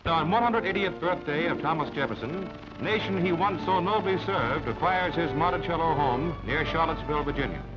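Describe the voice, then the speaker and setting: A man with a notably high voice announces newsreel items from a script. He sounds formal and declamatory. The old recording is dull and narrow, with music running underneath. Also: a little fast. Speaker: newsreel narrator. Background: background music.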